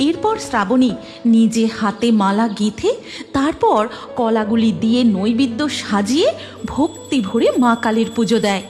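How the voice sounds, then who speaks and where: Expressive high-pitched woman storyteller. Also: normal speed, soft background music. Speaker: story narrator. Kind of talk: scripted narration of a devotional cartoon story.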